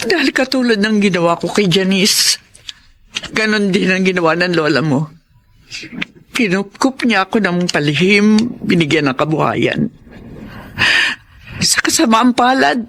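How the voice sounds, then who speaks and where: A woman's voice: on the low side, expressive, normal speed, long pauses. Solemn, remorseful Filipina mother. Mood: solemn, confessional. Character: remorseful, protective mother of a grown son. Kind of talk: melodramatic radio drama acting.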